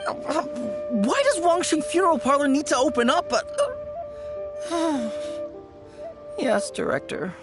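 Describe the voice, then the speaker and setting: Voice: high-pitched, wildly swooping pitch, takes it slowly, long pauses, music in the background, exaggerated voice. A weary, doubtful employee. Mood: hesitant, resigned. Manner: hesitant, sighing, resigned. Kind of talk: video game voice acting.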